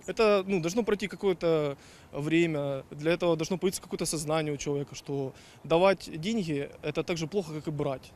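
A man explains his opinion to a street interviewer step by step, pausing on fillers. His voice is a little high, and faint traffic hums in the background. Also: a little mellow. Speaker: passerby. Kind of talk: street vox pop.

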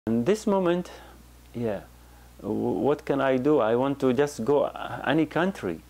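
Slow, gravelly-voiced man, halting speech. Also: mid-range voice, expressive, darkish tone. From Iraq. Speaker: interviewee. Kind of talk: personal testimony interview. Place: indoor office with bookshelves. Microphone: lapel mic.

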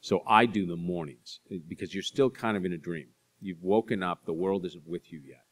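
A man in his forties telling animated, self-deprecating stories to an audience on a library stage. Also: low voice, normal speed. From Boston area. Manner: animated storyteller, acts out voices in anecdotes, self-deprecating. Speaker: author speaking to an audience. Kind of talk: storytelling talk and audience Q&A. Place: library stage in front of a curtain.

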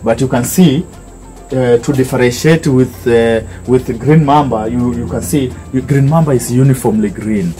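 Young male tour guide, Kenyan accent, mellow tone, explains patiently with repetition, faint music behind. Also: mid-range voice, normal speed. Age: young adult. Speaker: snake park guide. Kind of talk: informal guided tour talk. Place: open-sided snake park shelter with mesh walls.

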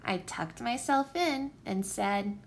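A woman reading a picture book aloud for young children with expressive, deliberate phrasing. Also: a little high, vocal fry, a little hiss or hum. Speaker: children's story reader, teacher.

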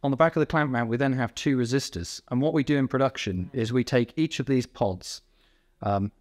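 A man in his thirties giving an informal technical explanation, haltingly rephrasing as he goes. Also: mid-range voice. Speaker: technical director explaining a product. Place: indoor company workroom.

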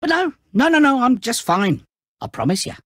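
A man's voice: very high-pitched, very animated, moderate pace, no room sound, clean studio sound, full character voice. An actor playing a giddy, taunting, all-powerful snowman trickster in a video game, with a gleeful, mocking, hammy delivery. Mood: mock innocent.

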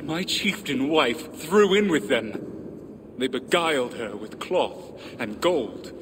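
Echoing, vengeful warrior ghost. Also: male voice, mid-range voice, animated. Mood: bitter. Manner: theatrical, bitter, drawn-out delivery. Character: bitter, vengeful ghost of a betrayed ancient British warrior. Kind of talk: dramatic video game monologue. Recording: long cavernous reverb, clear ambient noise.

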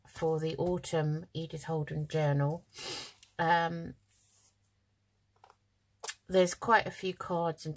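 Low-pitched, slightly croaky woman crafter. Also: darkish tone. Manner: chatty, thinking aloud. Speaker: craft channel host. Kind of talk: casual craft tutorial, talking while working. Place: indoors at a craft table.

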